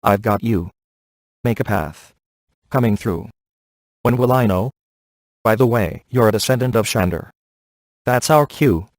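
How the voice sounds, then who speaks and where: A man acting a cocky, laid-back ghost hunter in a video game, sardonic and offhand with deadpan sarcasm. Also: lowish voice, a little slow, pauses often, no echo.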